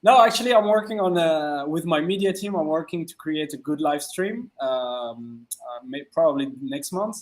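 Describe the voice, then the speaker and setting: A man in his thirties with a French accent, a DJ guest on a video-call interview, halting and searching for words with many fillers. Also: a little high, lively intonation. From France. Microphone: studio mic on a video call.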